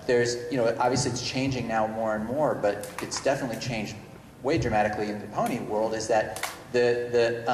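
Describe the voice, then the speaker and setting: A man's voice: mid-range voice, darkish tone. Middle-aged man rambling in an echoey hall. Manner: halting, rambling, self-correcting. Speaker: screenwriter guest at convention Q&A. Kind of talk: unscripted panel talk. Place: large indoor convention panel room. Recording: echoey reverberant hall, noticeable noise.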